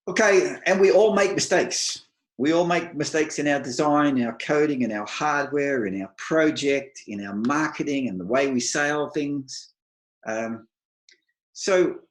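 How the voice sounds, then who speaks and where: A middle-aged Australian man giving an advice talk to an online meetup, speaking slowly and clearly with dry humour. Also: mid-range voice, roomy sound. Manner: slow, deliberate, clear delivery for non-native listeners.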